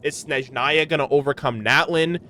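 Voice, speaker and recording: A man's voice: fairly high pitch, moderate pace, bright, forceful tone. A man giving a casual reaction to game lore, alternating between reading text aloud and rambling, hyperbolic commentary with casual swearing. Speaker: YouTube reaction creator.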